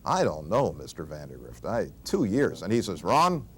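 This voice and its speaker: An older man with a somewhat low voice tells stories of his career. He is a veteran announcer, speaking casually and conversationally. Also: wildly swooping pitch, moderate pace, slight background noise. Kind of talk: casual anecdotal reminiscing.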